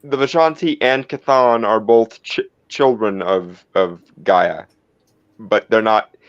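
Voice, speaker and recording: A man's voice: lowish voice, slow, no room sound, lo-fi sound. A man playing in a roleplay game, explaining and correcting in casual talk with stammering restarts. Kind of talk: casual group chat.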